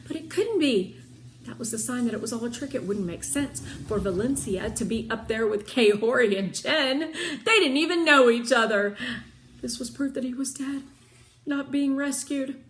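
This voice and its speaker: A woman reading a children's storybook aloud in an expressive, dramatic manner, with pondering pauses. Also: high voice, a little hiss or hum. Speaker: read-aloud narrator of children's books.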